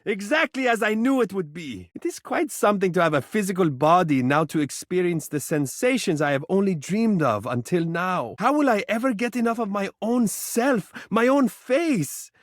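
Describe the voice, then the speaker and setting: A man's voice: high voice, lively intonation, moderate pace, hardly any pauses, no room sound, studio quality. A man voicing a vain, adoring mirror in a video game, speaking in flowery, formal, dramatic phrases. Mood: excited, self-admiring. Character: vain, romantic, adoring living mirror, theatrical and tender. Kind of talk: video game character voice acting.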